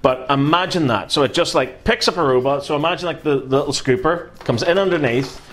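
A man's voice: on the high side, a little fast. A man in his thirties with a Northern Irish accent, co-hosting a casual chat, reading out viewer comments and riffing on them with lots of swearing. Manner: animated, swearing, gestures a lot while talking. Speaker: co-host. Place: small indoor room.

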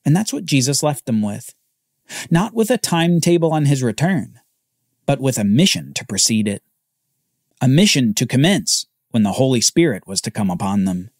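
A man hosting a podcast, telling a Bible story as scripted narration with dramatic pauses. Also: mid-range voice, darkish tone, dry recording, clean studio sound.

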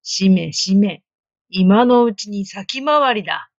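A woman voicing a sly, hungry male wolf in a storybook reading, speaking slowly with gloating menace. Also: medium pitch, expressive, dry recording, lo-fi sound. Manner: slow, gloating, drawn-out menace. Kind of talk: storybook read-aloud.

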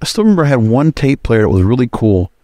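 A man's voice: medium pitch, big pitch swings, fast, mellow, no room sound. A man hosting a solo podcast, talking casually in a rambling, conversational way. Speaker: podcast host.

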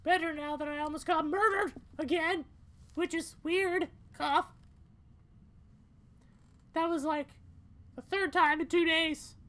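A woman plays a young cat dropout from a video game, a girl both frightened and defiant. Her voice is high and unhurried. She speaks in halting, earnest, dramatic phrases. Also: fairly even intonation, a bit bright, full character voice. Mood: weary, wry. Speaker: gaming video player voicing the characters.

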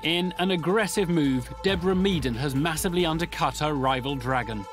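A man's voice: a little high, a little fast. A man with a British accent delivering dramatic scripted television narration. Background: music.

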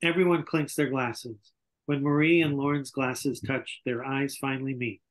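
A man's voice: mid-range voice, fairly even intonation, moderate pace. An older man reading scripted stage directions as narrator at a table read over a video call. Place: indoor room on a video call. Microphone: video call microphone.

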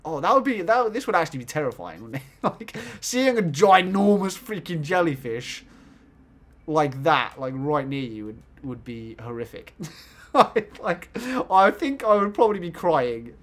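Giggly young gamer guy, kinda croaky, voice jumps all over the place, desk mic. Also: fairly high pitch, measured pace. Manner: giggly, swings from mumbling to excited shouts. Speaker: gaming YouTuber. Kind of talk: excitable let's-play commentary.

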